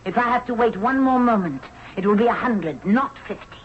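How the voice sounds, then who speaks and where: A woman's voice: a little high, animated, fairly quick, close to an everyday voice. An actress playing a proud, commanding young queen of ancient Egypt in a 1970s radio drama. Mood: angry, imperious. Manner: haughty, commanding. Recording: dull, noisy old radio broadcast recording.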